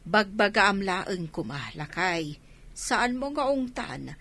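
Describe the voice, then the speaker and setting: A woman's voice: mid-range voice, very animated, slow, bright, forceful tone, exaggerated voice. A woman playing a middle-aged village mother with an Ilocano accent, nagging and worried, admonishing in radio drama style. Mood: concerned. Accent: Filipino, Ilocano.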